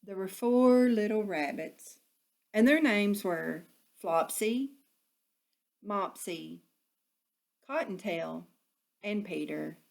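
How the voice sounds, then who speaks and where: A woman narrating a children's storybook expressively, with deliberate phrasing suited to young listeners. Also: mid-range voice, creaky, a little mellow.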